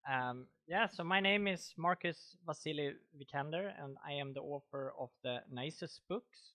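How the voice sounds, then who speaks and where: Swedish male podcast host, slightly high voice, relaxed and talkative. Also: moderate pace. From Sweden. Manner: relaxed, chatty, laughs easily. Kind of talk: casual chat.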